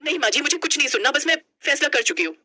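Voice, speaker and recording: A young newlywed bride voiced by a woman storyteller, eager and emotional. Her voice is high and very bright, with lively swings in pitch, in the manner of an animated storybook. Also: very fast, full character voice. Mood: defiant. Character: young newlywed daughter-in-law, eager but overworked, emotional.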